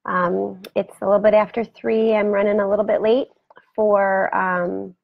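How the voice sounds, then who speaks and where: A woman hosting a casual live video chat from her home, rambling warmly and greeting viewers by name, her voice scratchy today. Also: mid-range voice, level tone, normal speed, creaky, dry recording, narrow, tinny audio. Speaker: host. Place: home room beside a turtle aquarium. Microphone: webcam for a live video.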